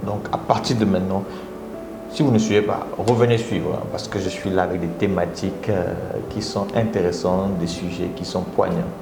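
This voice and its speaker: A Congolese man with a Congolese French accent hosting a live motivational talk, coaxing his viewers and often jumping into high exclamations. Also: moderate pace, vocal fry, over music. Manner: animated, coaxing audience, rising into high exclamations. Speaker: motivational speaker and live host. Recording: echoey room with audible background noise.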